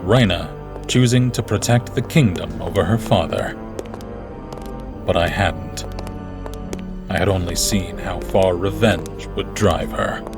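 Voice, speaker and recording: A man's voice: deep voice, measured pace, vocal fry, long pauses, music in the background. A man playing a solemn, loyal royal marshal in an audio drama, grave and formal as he recounts events. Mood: somber narration. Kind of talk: first-person audio drama narration.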